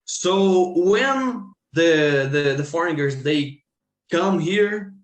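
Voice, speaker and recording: A man from Brazil with a Brazilian accent and Portuguese as his native language, talking informally as an English teacher in a halting, repetitive way. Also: a little high, expressive. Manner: halting, repeats phrases. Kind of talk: informal interview discussion. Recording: roomy, echoing sound.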